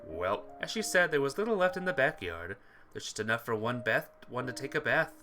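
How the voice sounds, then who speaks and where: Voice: mid-range voice, sing-song, brisk, music in the background. A streamer reading a visual novel aloud expressively, with casual asides to viewers. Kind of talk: game playthrough narration with chat asides.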